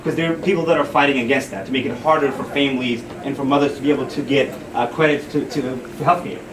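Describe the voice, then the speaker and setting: A man's voice: medium pitch, steady tone, fast. A man giving an informal political speech, conversational and repeating phrases for emphasis. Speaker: community speaker and event host. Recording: distant mic in noisy echoey room.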